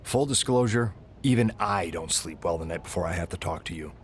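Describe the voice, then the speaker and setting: Low-voiced wrestling show boss. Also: male voice, expressive, brisk, slight background noise. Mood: dry, placating. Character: wary, dryly diplomatic male wrestling show boss. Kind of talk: scripted video game cutscene acting.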